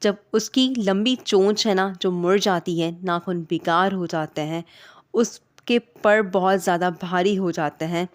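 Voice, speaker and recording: A woman hosting a vlog, giving an earnest motivational voice-over that speaks straight to listeners, her voice heavy and hoarse from a sore throat. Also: on the low side, a little slow. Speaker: vlog host.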